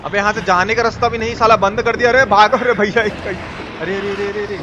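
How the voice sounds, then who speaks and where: A man giving excitable, repetitive, slangy live game commentary as a streamer. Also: sits high, fast talker, muffled recording. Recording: clear background noise.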